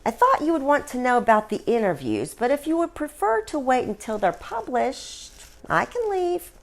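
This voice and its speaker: A sly ghostly princess in a satirical fairy tale, played by a woman storyteller. She gossips in an arch, knowing way, with a very animated pitch. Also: normal speed. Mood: coolly teasing. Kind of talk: storybook dialogue reading.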